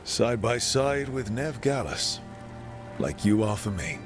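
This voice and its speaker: A man voicing a loyal, easygoing young adventurer who banters gently in a fantasy video game. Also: mid-range voice, sing-song, slow, over music. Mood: supportive, warm.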